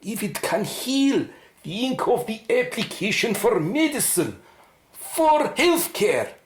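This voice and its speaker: A woman playing a curious, authoritative senior research scientist in a radio drama, speaking formally and deliberately. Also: a little high, big pitch swings. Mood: excited, grand. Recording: roomy, echoing sound.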